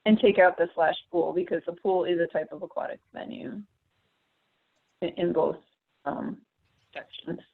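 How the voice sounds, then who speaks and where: A woman's voice: fairly low pitch, fairly even intonation, normal speed, creaky, pauses often, husky. A woman giving explanatory comments in a committee meeting over a phone line. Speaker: committee participant. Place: phone conference call. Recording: tinny, narrow phone line.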